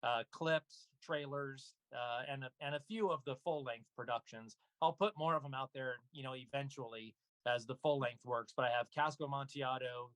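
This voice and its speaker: A man's voice: medium pitch, normal speed, few pauses, fairly bright tone, poor audio. A man speaking casually as an interview guest, an actor and narrator talking about his own work.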